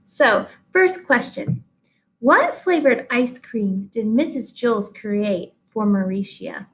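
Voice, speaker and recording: A woman reading a children's story aloud expressively, like a teacher, with deliberate pauses and emphasis. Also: on the high side, lively intonation, rough recording.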